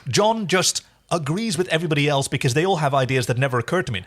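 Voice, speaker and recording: A man co-hosting a casual podcast recap of a sci-fi series, animated and teasing. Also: on the high side, fast talker. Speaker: podcast co-host.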